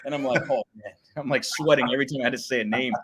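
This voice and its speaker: A man in his thirties, a public address announcer with a booming voice, chatting casually in an interview. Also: racing, a crowd in the background. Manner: booming voice, slips into announcer calls.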